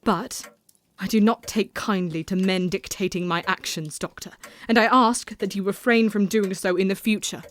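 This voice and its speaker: An actress as a young Victorian gentlewoman with a medium-pitched voice. She is proud and firm, choosing formal words in a period audio drama. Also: steady tone, normal speed, lightly acted. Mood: stiff, resigned.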